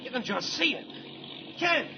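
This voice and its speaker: A man's voice: very high-pitched, sing-song, takes it slowly, vocal fry, heavily acted. A man playing a bluff, hearty sea captain in a 1940s radio drama, on a muffled, very noisy recording. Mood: alarmed.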